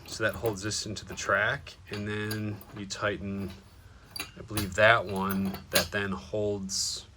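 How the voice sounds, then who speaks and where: Low-voiced American man, noisy DIY vlog. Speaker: vlogger showing DIY van upgrades. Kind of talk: casual DIY walkthrough to camera. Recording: noisy handheld recording with vehicle ambience.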